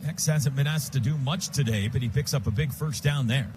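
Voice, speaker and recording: An American man calling live football on radio as the play-by-play announcer, in rapid, clipped present-tense phrases. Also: mid-range voice. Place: stadium radio booth. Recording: loud stadium noise behind broadcast.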